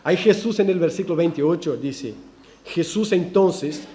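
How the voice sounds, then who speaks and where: A pastor delivers a verse-by-verse sermon in a church, his voice sitting high for a man yet mellow in tone. He preaches in animated swells, breaking into restarts and ironic asides. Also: moderate pace. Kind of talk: expository sermon.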